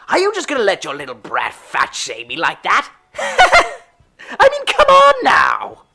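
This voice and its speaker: A woman playing a sarcastic, sinister male clown villain in an audio drama, with a creaky voice and mocking, drawn-out delivery that swings from murmurs to shouts. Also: mid-range voice, huge pitch swings, exaggerated voice. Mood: manic, laughing.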